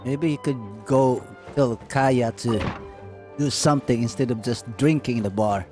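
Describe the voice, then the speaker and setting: A man's voice: mid-range voice, normal speed. A man streaming a video game live, chatting casually and joking back at the characters. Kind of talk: casual live gameplay commentary. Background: game music.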